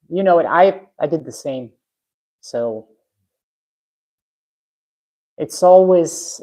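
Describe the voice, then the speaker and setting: Lady on her live stream, low voice, blunt and frank, garage. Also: warm tone, poor audio. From Russia. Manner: frank, blunt, restarts often. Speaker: livestream host. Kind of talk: casual live stream chat, reading comments. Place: home garage.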